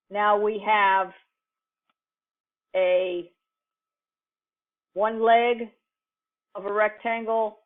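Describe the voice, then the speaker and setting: A woman teaching in an informal lecture style, explaining step by step in a halting, deliberate manner with pauses mid-sentence. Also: medium pitch. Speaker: computing instructor. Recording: muffled, phone-like narrowband audio.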